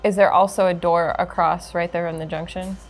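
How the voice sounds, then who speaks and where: A woman playing a tabletop role-playing game, talking casually and haltingly as she decides her moves. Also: fairly low pitch, little pitch movement. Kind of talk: casual game chat, some in-character speech. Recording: background music behind the voice. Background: music.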